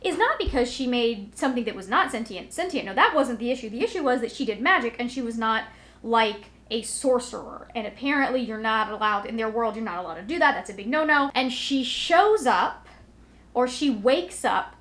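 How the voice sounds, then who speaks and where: A woman's voice: on the high side, expressive, fairly quick, a bit bright. A woman talking casually to viewers as a book vlogger, in a rambling, conversational, self-deprecating way. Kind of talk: casual chatty vlog, recapping to viewers.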